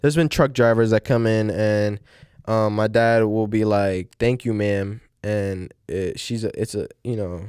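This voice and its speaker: A man's voice: on the low side, fairly even intonation, a little slow, a little mellow, clear, resonant tone, no echo, studio quality. A young man on a podcast, chatting casually, rambling with lots of "like" and "you know". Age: young adult. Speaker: podcast host. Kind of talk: casual chat.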